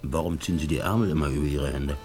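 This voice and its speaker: An actor in a German radio drama playing a gruff, sentimental film director who speaks in a curt, insistent, commanding way. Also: male voice, a bass voice, racing, a little mellow, soft background music. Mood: businesslike, hospitable.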